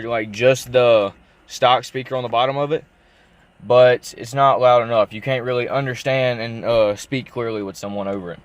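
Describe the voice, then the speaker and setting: A man giving a casual, unscripted show-and-tell vlog, informal and thinking out loud as he goes. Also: medium pitch, no room sound. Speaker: car vlogger.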